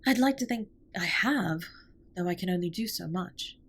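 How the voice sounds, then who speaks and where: A woman playing a formal, earnest, caring alien spaceship captain in a scripted comfort roleplay, speaking softly and breathily with measured, precise wording. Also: fairly low pitch, expressive, husky. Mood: earnest, supportive. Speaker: audio roleplay performer. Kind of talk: scripted comfort roleplay, intimate one-sided dialogue.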